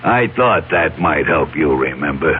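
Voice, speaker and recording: Slow, deep, menacing blackmailer. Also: male voice, lively intonation, soft background music. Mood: taunting, menacing. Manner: slow, taunting delivery. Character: menacing male blackmailer, slow, mocking and knowing. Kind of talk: 1970s radio drama acting. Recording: muffled lo-fi old radio recording, faint hiss.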